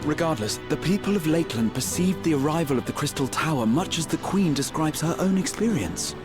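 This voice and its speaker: A man's voice: a little high, lively intonation, over music, natural voice. An actor as a thoughtful, scholarly male adventurer. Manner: measured, analytical, formal phrasing. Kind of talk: scripted fantasy video game acting.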